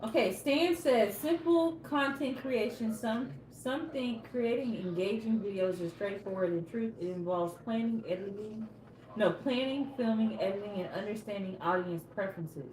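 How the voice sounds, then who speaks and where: A woman's voice: mid-range voice, moderate pace, a little mellow. A woman co-hosting a live cooking stream, reading viewer comments aloud and teasing playfully. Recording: echoey room with crowd noise.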